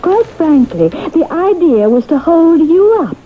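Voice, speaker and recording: A woman's voice: extremely high pitch, sing-song, unhurried, exaggerated voice. An actress playing a cunning, self-assured, theatrical woman accused of murder in an old-time radio drama, coaxing and taunting. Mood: confronting, taunting. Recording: tinny band-limited old radio broadcast, hissy.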